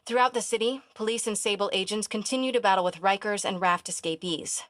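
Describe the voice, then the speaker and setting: A woman voice actress playing a determined young investigative reporter in a video game, with an American accent and a breathy, hushed manner. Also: mid-range voice, fairly quick, no echo, close to an everyday voice. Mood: formal, reporting. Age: young adult. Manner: hushed, self-talking, breathy.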